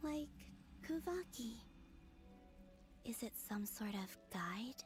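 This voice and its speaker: A woman playing a weary, wary but resolute heroine in a video game, speaking in a hushed, breathy voice. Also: fairly high pitch, sing-song, takes it slowly, music in the background. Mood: quiet wonder. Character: weary, wary, resolute woman stranded alone in a strange realm. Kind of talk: game voice acting, inner monologue.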